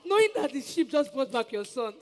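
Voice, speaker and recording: Fast, high, whining villager. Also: male voice, very animated, darkish tone, long reverb tail. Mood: excited pleading. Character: excitable whining villager petitioning for passage. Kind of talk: theatrical stage comedy acting.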